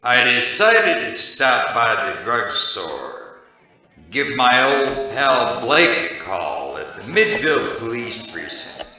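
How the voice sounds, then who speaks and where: A man playing a boozy, wisecracking hardboiled private detective in a parody noir radio drama, delivered deadpan. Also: medium pitch, slow, heavily acted. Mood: world-weary. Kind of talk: parody noir radio drama, first-person narration and dialogue. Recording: very echoey, distant, music underneath.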